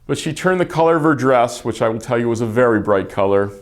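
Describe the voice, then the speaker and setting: A man's voice: mid-range voice, animated, quick pace. A middle-aged man telling wedding stories in a dry, matter-of-fact way. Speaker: interviewee. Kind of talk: casual personal storytelling.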